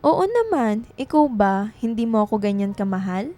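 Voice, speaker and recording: An actress playing a tender, anxious young woman writer in a radio drama, soft and earnest in manner. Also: mid-range voice, very animated, moderate pace, soft background music, close to an everyday voice. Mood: affectionate.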